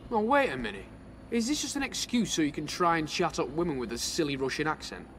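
A man's voice: fairly high pitch, expressive, fast talker, a bit bright, a little hiss or hum. An actor playing a young man, dry and sarcastic. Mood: grumbling. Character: young British man, grumbling friend.

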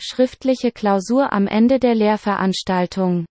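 A woman reading German words and example sentences slowly and clearly for a vocabulary lesson. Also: mid-range voice, darkish tone, no room sound. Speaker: German word reader.

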